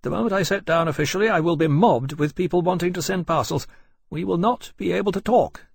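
A man playing a brisk courier captain in an audiobook story, blunt but affable, with a breezy, forthright manner. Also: high-pitched, vocal fry, husky, no room sound, studio quality, pitched above the performer's own voice, heavily acted. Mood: warmer, affable.

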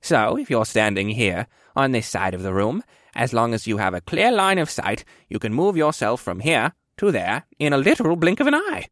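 A man's voice: medium pitch, very animated, normal speed, a bit bright, dry recording, studio quality. A man voicing a frail but spry old male quartermaster, amused, patient and instructive, in an audiobook. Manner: chuckling, instructive. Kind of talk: audiobook character dialogue.

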